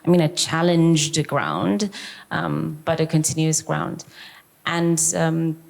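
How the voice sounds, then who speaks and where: A woman's voice: low voice, steady tone, takes it slowly, creaky. A woman and festival curator answering on a public talk panel, thoughtful and searching for her words. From South Africa.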